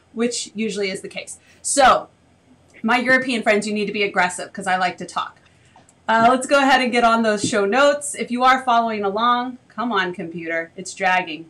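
A woman in her thirties runs a live roundtable over a video call. Her voice is bright and a touch high, and she keeps things moving with chatty, self-deprecating asides. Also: a little fast. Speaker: host. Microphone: video call webcam.

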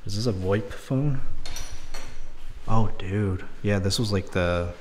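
A man's voice: lowish voice, slow, darkish tone, a little hiss or hum. A man casually narrating a walkthrough of an abandoned house, recorded on a handheld camera mic indoors. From Texas. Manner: laid-back, remarks on what he sees. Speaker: urban explorer host.

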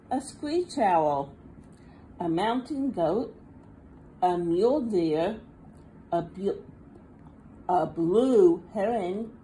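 Slow, sing-song woman reading a picture book. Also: medium pitch, warm tone, rough recording. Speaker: children's storybook reader. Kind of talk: read-aloud picture book for young children. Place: indoors at a table. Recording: faint background room noise.

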